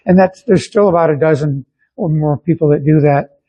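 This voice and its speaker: An older man giving an informal club talk, explaining things in a halting, unhurried way. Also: fairly high pitch, mellow, rough recording. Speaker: amateur radio club guest speaker.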